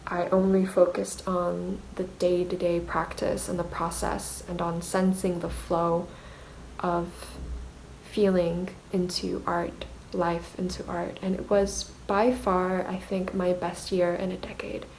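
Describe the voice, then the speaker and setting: Slow, even-toned woman vlogger, native Mandarin speaker. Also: fairly low pitch, gravelly, a little hiss or hum, low-quality recording. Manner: self-conscious, hesitant. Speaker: artist vlogger. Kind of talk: reflective video diary.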